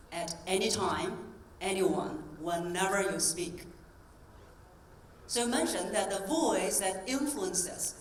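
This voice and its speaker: A woman voice coach speaks on stage in a large hall, lively and coaxing as she drills the crowd. Her voice sits at a middle pitch, and it carries from some way off the mic. The room rings around her with faint ambience behind. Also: distant mic, a little hiss or hum. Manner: energetic, coaxing, drills the audience. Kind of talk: keynote talk with audience exercises.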